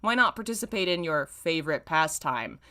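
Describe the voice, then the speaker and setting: A woman's voice: low voice, expressive, moderate pace, sharp tone. Woman voicing a shy, flustered, jumpy man. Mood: grumpy, dry. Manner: hesitant, stammering, guarded. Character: shy, flustered, easily embarrassed grown man.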